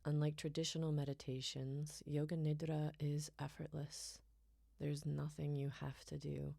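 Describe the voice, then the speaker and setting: A woman guiding a relaxation meditation in a soft, soothing, unhurried way, her phrases often trailing off breathily toward a whisper. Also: low voice, flat delivery, vocal fry, no room sound, clean studio sound. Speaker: yoga nidra guide.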